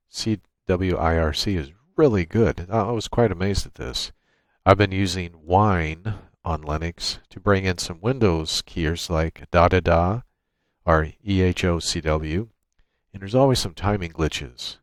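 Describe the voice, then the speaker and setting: A man presenting an informal software tutorial, explaining things step by step into a USB microphone. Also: deep voice, dry recording. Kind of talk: informal software screencast walkthrough. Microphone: USB microphone through a noise gate.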